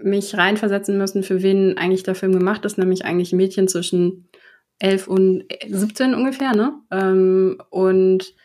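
A woman's voice: mid-range voice, a little mellow. A young adult woman co-hosting a podcast in casual chat, thoughtful and hesitant with many fillers. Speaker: podcast co-host.

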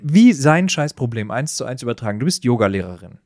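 A man's voice: mid-range voice, very animated, a little fast, darkish tone, no echo. A man hosting a podcast, giving casual, direct advice in a coaching manner. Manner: coaching, direct, often laughing.

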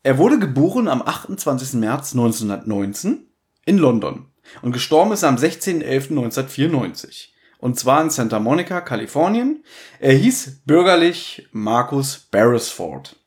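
A man's voice: mid-range voice, very animated, moderate pace. A man chatting casually and jokingly as a podcast co-host, sometimes quoting others or going through his notes. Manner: joking, mimics others in mock quotes, reads out notes.

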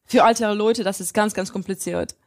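A woman's voice: medium pitch, fairly even intonation, fairly quick, a little mellow. Earnest woman. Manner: hesitant, many fillers, earnest. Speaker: interviewee, interpreter. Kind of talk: casual interview answers.

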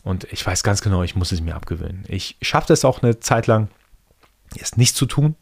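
A man from Iran whose first language is Persian, hosting a casual solo podcast and thinking aloud in a relaxed way. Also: low voice, moderate pace, gravelly. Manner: relaxed, thinking aloud with restarts and soft laughs. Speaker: podcast host.

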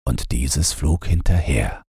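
A man narrating a bedtime fairy tale from a script in a calm, measured, gentle way. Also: rumbling low, husky, no echo, studio quality. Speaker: audiobook narrator.

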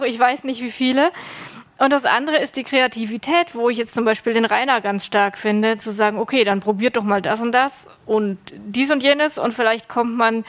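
Höhere Frauenstimme, Teilnehmerin, lange verschachtelte Sätze. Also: female voice, fairly high pitch. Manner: long run-on sentences with fillers. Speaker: workshop participant. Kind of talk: panel discussion answer.

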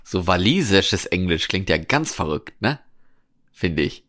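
A man's voice: deep voice, sing-song, a little slow, a bit bright, no room sound, clean studio sound. A man chatting casually as a podcast co-host, musing in a colloquial way. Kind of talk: casual chat.

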